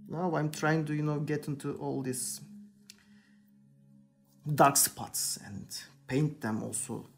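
A man's voice: on the high side, slow pace, gravelly, soft background music. A young Turkish man with a Turkish accent, chatting casually in hesitant, searching English while he paints a figure at his workbench. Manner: hesitant, searching for English words, many "you know". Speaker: hobby painter, channel host. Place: indoor workbench.

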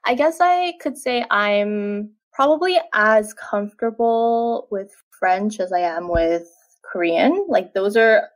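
A woman's voice: mid-range voice, slow pace. A young woman who grew up in Quebec, a guest in a casual interview, talking in a chatty way full of "like" and "you know" and laughing easily. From Canada, Quebec. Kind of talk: casual conversation.

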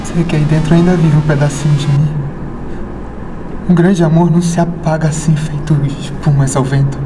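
An actor playing a heartbroken man clinging to a lost love, in a loud, pleading theatrical monologue. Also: on the high side, little pitch movement, normal speed, music in the background, close to an everyday voice. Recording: noisy ambient background.

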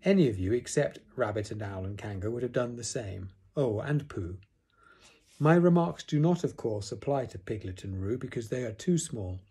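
A man's voice: mid-range voice, lively intonation, moderate pace, mellow, slightly rough. A man voicing a self-important, gloomy old donkey from a storybook, pompous, sarcastic and put-upon. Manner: pompous, ponderous speechifying.

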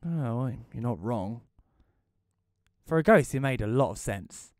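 A man's voice: medium pitch, expressive, measured pace, dry recording, lightly acted. A man playing a reclusive, wry widower, narrating an audio play in the first person in a dry, reflective way. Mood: bemused, wry.

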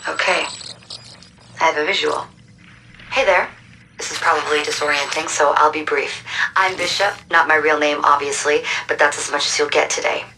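Guarded female hacker, low pitch, forceful edge, brisk businesslike briefing, game cutscene. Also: fairly even intonation, rough recording. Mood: urgent, businesslike. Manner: matter-of-fact, businesslike. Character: brisk, guarded woman hacker leading a resistance cell. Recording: clear background noise.

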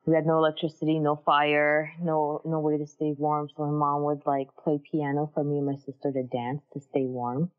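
Low-voiced woman, chatty storyteller. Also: level tone, moderate pace, few pauses, clean tone, phone-quality sound. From Armenia. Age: 30s. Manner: chatty, warm storytelling with many fillers. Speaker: guest, character designer. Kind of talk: casual interview chat.

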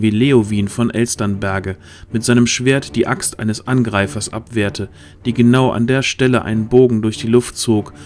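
A man narrating a scripted fantasy audio drama in a measured storytelling manner. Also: medium pitch, a little mellow.

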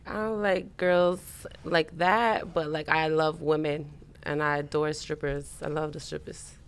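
A woman's voice: low-pitched, steady tone, unhurried, smooth. A woman in her twenties from Iran with a Persian and Canadian accent, a podcast guest giving short, easygoing answers. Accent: Persian mixed with Canadian. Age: 20s. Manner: laid-back, short answers. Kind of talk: casual interview chat. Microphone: headphones and desk mic.

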